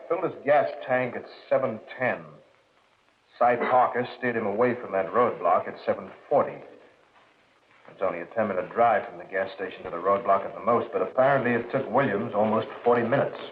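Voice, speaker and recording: Male actor for radio-drama police lieutenant: lowish, businesslike, probing, thin vintage broadcast sound. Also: narrow, tinny audio, slight background noise, natural voice. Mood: formal testimony. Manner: measured, businesslike, insistent. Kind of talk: 1940s-50s radio drama acting.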